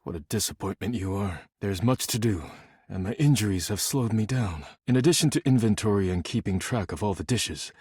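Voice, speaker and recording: A man voicing a stern, proud dishware spirit in a video game, speaking in a formal, clipped, deadpan and disdainful way. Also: on the low side, fairly quick, slightly rough, dry recording, clean studio sound. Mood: curt, irritated. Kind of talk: scripted video game character acting.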